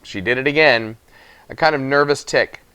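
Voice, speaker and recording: A man reading a children's story aloud as narrator, with expressive, dramatic emphasis on phrases. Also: medium pitch, normal speed, smooth, dry recording, poor audio. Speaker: audiobook reader.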